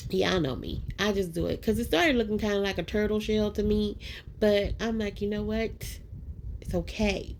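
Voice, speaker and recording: A woman's voice: mid-range voice, creaky, a little hiss or hum. A woman with a nasal, allergy-stuffed voice, chatting casually through a voice-over about her craft project, with lots of ums and likes. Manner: chatty and self-deprecating, many ums and likes, addresses viewers as y'all and guys. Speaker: craft vlogger.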